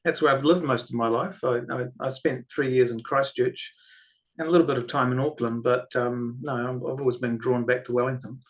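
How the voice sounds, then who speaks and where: An older man with a New Zealand accent talks as a podcast guest. He tells his stories in an unhurried, reflective way. His voice sits at an ordinary pitch for a man. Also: fairly even intonation. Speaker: guest filmmaker and author. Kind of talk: podcast interview.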